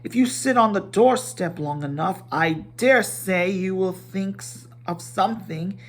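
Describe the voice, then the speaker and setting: A man's voice: high-pitched, a little slow, soft background music, voice pushed up. A man voicing a timid, polite little hobbit in a storybook reading, fussy and hesitant, rambling courteously. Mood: flustered, polite.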